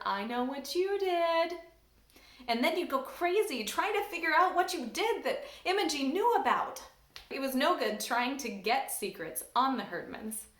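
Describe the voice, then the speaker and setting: Animated woman reading a storybook aloud. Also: high voice. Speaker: church staff member. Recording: roomy echo.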